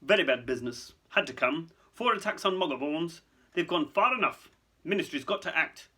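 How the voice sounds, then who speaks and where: A man's voice: sits high, lively intonation, a little fast, sharp tone, pitched above the performer's own voice, heavily acted. A man voicing a portly, anxious, self-important government minister in a clipped, flustered way for a storybook. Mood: brisk unease.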